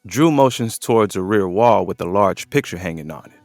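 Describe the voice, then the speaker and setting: A man's voice: deep voice, expressive, moderate pace, vocal fry, darkish tone, dry recording, faint music behind. A man reading scripted narration for an audio drama as its narrator. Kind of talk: scripted present-tense narration.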